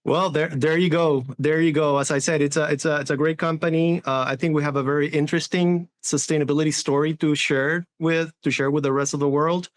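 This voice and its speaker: A man's voice: a little high, fairly even intonation, fairly quick, hardly any pauses. A man in his forties from Honduras, answering interview questions in an earnest way, often restarting and adding "right?". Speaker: sustainability executive guest.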